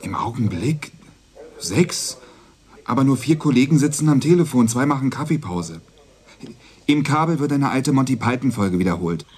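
An actor playing a young, dutiful police dispatcher in a radio crime drama, sounding exasperated and pleading in an official way. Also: male voice, medium pitch, expressive, moderate pace, darkish tone, natural voice. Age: young adult.

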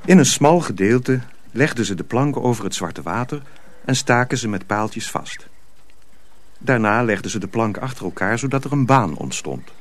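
A man's voice: medium pitch, lively intonation, moderate pace. A man narrating a radio play in scripted storybook style.

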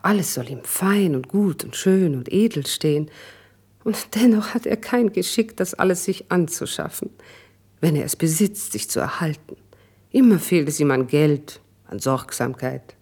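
A poised court lady with a deep, dark, breathy voice. She speaks classical stage verse with care and tact, quietly shrewd beneath her refinement. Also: animated, normal speed, full character voice. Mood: fond exasperation. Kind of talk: classical German verse radio drama acting.

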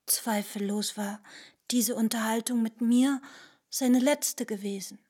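A woman's voice: fairly high pitch, steady tone, slow pace, dark tone. An actress playing a young woman who recounts things confidingly in a literary radio drama.

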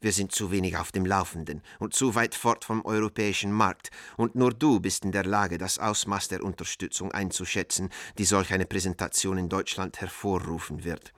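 An actor playing an American art dealer reading out his letters in a radio drama, in a measured, formal way. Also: low-pitched, steady tone, a bit bright, dry recording, studio quality. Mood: measured, pointed. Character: American art dealer writing coded letters to an old friend.

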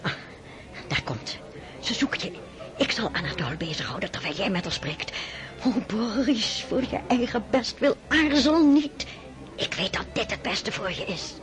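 A woman's voice: medium pitch, sing-song, moderate pace, music in the background, close to an everyday voice. A woman playing a scheming aristocratic mother in a radio play, talking in a gushing, coaxing way. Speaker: mother of Boris. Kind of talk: radio drama acting.

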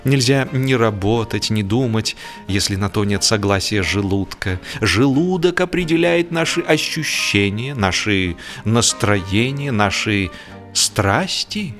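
A man's voice: lowish voice, animated, a bit bright, soft background music. Theatrical male narrator of humorous fiction. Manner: theatrical, measured, mock-solemn. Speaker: audiobook narrator. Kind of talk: scripted humorous literary narration.

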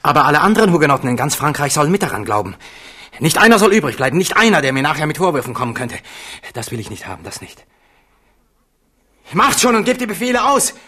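A man's voice: fairly high pitch, big pitch swings, fast talker, sharp tone, breathy edge, exaggerated voice. An actor playing a weak, tormented, petulant young king in a German radio drama, agitated and aggrieved in a theatrical way. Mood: hysterical rage.

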